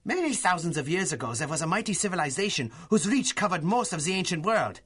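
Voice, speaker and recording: An actor playing a pompous, fanatical German professor villain with a German accent in a 1930s-style radio adventure serial, gloating theatrically with drawn-out emphasis. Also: male voice, sits high, sing-song, a bit bright, husky, heavily acted. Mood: gloating, grandiose.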